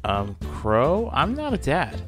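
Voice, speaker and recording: A man voicing an easygoing, cheerful, slightly dim young man in a comic dub, with a husky voice. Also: on the high side, huge pitch swings, takes it slowly, fairly bright tone, faint music behind, exaggerated voice. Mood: awkward, musing. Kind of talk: animated comic-dub voice acting.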